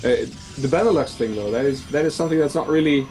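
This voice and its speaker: A man from the Netherlands casting a video game match from home, explaining in a measured way with frequent fillers. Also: a little high, warm tone. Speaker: esports caster. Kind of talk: casual game commentary. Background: faint music.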